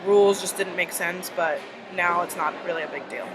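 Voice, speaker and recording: A woman's voice: on the low side, moderate pace. Young woman, arabic first language, chill answers, noisy background hiss. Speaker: student interviewee. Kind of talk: casual interview answers. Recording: noisy outdoor recording.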